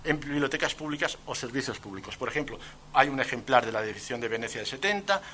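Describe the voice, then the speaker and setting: A man's voice: medium pitch, animated, fast, bright, forceful tone, slight background noise. A man with an accent from Spain giving a conference lecture as a guest speaker, with wry, ironic asides. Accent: Spanish from Spain. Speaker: guest speaker, antiquarian bookseller. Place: lecture event at a university.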